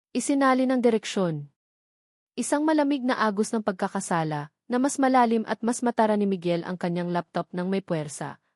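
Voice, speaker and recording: A woman's voice: medium pitch, darkish tone, dry recording. Woman narrating a dramatic story, dry sound. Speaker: audiobook narrator.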